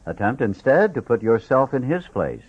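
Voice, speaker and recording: Male audiobook reader, lowish register, highly varied intonation, on somewhat muffled lo-fi audio. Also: big pitch swings, moderate pace, husky. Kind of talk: scripted read-aloud narration. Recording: dull, lo-fi audio.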